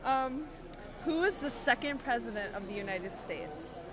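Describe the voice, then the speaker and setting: A woman's voice: fairly high pitch, sing-song, unhurried. A young woman interviewing people in a casual quiz, asking questions in an informal, giggly way. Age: young adult. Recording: crowd chatter behind, on location.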